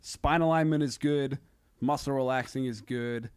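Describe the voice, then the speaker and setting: Halting, casual man chatting on a podcast. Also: medium pitch, clear, resonant tone. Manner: halting, filler-heavy, self-interrupting. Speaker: podcast guest, comedian. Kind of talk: casual comedic podcast chat.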